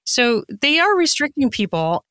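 A woman's voice: high-pitched, very animated, moderate pace, a bit bright, no room sound, studio quality. A woman chatting casually and emphatically as a guest in a podcast interview.

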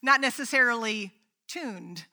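Bright, high-voiced chatty woman pastor. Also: expressive, unhurried. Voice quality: strong voice. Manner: chatty and playful, with frequent "like" fillers. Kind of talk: sermon with casual asides to the congregation. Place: church sanctuary.

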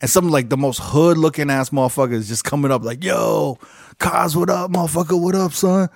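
An animated man tells loose stories full of slang and swearing. His voice sits a little high, and the sound is dry and close to the mic. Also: a little fast, vocal fry, dry recording. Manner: animated storyteller, profane, slangy. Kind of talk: casual chat.

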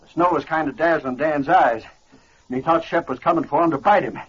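An actor playing a deceitful, smooth-talking prospector crook in an old-time radio adventure. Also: male voice, a little high, a little fast, a bit bright, natural voice. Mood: placating. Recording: muffled old radio recording, faint noise.

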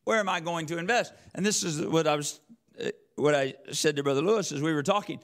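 A man's voice: a little high, quick pace, fairly bright tone. A man preaching a sermon as a church elder, speaking emphatically with repeated phrases and rhetorical questions. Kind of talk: sermon with scripture quotation.

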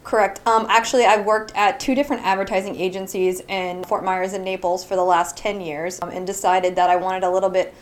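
A woman's voice: mid-range voice, little pitch movement, fairly quick, few pauses. Brief: thirties woman from Florida, small business founder, friendly guest who laughs often. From Southwest Florida. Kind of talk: casual interview answers.

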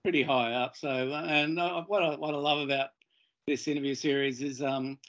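A man hosting an interview with a croaky voice from a head cold, hesitant and repeating words as he frames questions. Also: fairly high pitch, fairly even intonation, moderate pace, fairly bright tone, muffled recording. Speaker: interview host. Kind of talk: casual interview chat.

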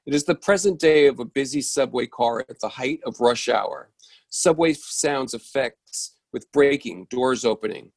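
A man's voice: mid-range voice, lively intonation, a little slow, a little mellow, dry recording. A man reads a play script's stage directions in a mid-range voice. He sometimes trips on a word and corrects it. The sound is dry, with little room to it. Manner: stumbles and corrects himself. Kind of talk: reading a play script aloud.

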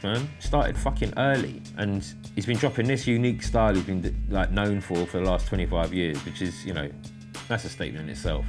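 A very low-voiced man hosts a podcast in a studio. He talks in a loose, slangy way and swears freely. Music plays clearly behind his voice. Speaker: podcast host. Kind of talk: casual interview chat.

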